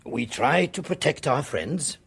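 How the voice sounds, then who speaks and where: An actor playing a suave, faintly menacing Syrian minister in a radio drama, husky and formally polite. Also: male voice, medium pitch, lively intonation, a little slow, a bit bright, full character voice. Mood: suave, pointed.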